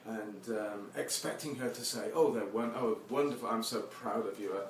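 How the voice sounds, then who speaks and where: A man's voice: lowish voice, normal speed. A man giving a live poetry reading with informal introductions, speaking with wry, self-deprecating humour. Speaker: poet reading own poems. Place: live reading venue with seated audience. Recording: echoey, noisy room from far off.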